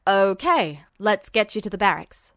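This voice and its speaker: A woman's voice: medium pitch, big pitch swings, unhurried, a bit bright, dry recording, clean studio sound, pitched below the performer's own voice. A woman voicing a worried, harried male soldier in a story reading. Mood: relieved, resigned. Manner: harried, muttering to himself.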